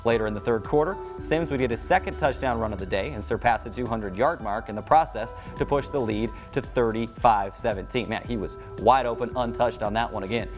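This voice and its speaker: An American man reading scripted sports highlights as the host of a show. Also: brisk, few pauses, music in the background.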